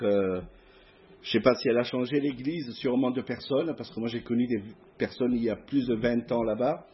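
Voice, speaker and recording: A man's voice: medium pitch, highs cut off. Man with a southern French accent preaching. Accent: southern French (Provence). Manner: hesitant, many filler pauses, friendly. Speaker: guest preacher. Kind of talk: church sermon exhortation. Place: church hall before a masked congregation.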